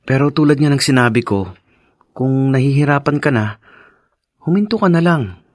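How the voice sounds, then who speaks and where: An actor playing a caring young husband and dutiful son in a radio drama, gentle, polite and reassuring. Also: male voice, mid-range voice, lively intonation, moderate pace, dry recording, studio quality, natural voice. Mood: reassuring, concerned. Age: young adult. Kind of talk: Tagalog radio drama acting.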